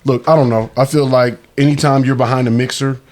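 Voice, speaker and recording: A man's voice: medium pitch, a little fast. Laid-back man in his thirties, street talk. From Milwaukee, Wisconsin. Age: 30s. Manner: laid-back street slang, animated bursts. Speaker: podcast guest. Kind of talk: casual conversation.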